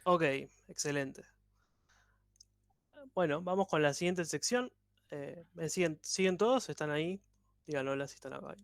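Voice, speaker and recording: A man teaches an online coding workshop, taking things step by step and patiently. His voice sits a bit high for a man. The sound is dry and close, as on a video call. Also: moderate pace, pauses often, dry recording. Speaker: programming instructor.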